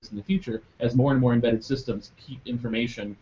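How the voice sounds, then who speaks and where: A man's voice: mid-range voice, fairly even intonation, brisk, mellow. A man hosting a live online show, chatting casually with many ums and uhs. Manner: rambling with many fillers. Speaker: podcast host. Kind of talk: casual live webcast chat. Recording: dull lo-fi webcast audio with faint noise.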